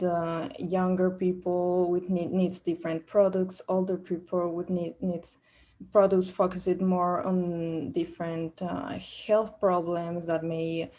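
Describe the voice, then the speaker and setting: A young Peruvian woman with a Spanish accent answers interview questions in English, her second language. Her voice sits a little low and stays flat, with a mellow, darker tone. She hesitates often, restarting phrases and filling pauses with "uh" and "um.". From Peru. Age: young adult. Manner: hesitant, many fillers and restarts. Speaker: job candidate. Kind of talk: practice job interview.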